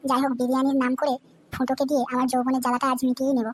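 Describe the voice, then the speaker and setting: A woman's voice: sits high, level tone, very fast, natural voice. A woman voicing a scheming, lonely young married woman in a cartoon, talking in a sly, coaxing way. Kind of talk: cartoon voice acting. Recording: loud background music.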